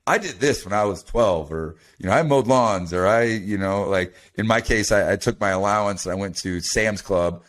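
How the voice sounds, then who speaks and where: A man from the United States hosting a podcast, chatty and animated, with lots of restarts. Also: fairly low pitch, normal speed, hardly any pauses. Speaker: podcast host. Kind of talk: casual interview talk.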